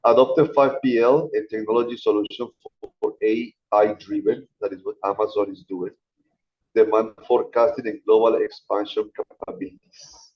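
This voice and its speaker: A man giving a guest lecture over a video call in a relaxed, chatty teaching manner. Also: fairly low pitch, moderate pace, muffled recording. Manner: casual, chatty teaching, addresses students as guys. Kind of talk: informal online lecture.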